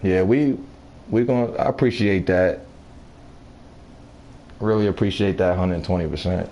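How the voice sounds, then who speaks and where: A man in his thirties chatting casually with viewers while gaming, laid-back, talkative and quick to laugh. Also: low voice, warm tone, muffled recording, a little hiss or hum. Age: 30s. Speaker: gaming streamer. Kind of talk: casual live-stream chat while gaming.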